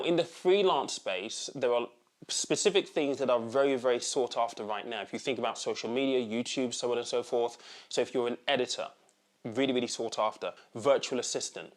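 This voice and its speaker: A man, a channel host, chatting to camera and repeating words for emphasis. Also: medium pitch. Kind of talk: casual talk to camera.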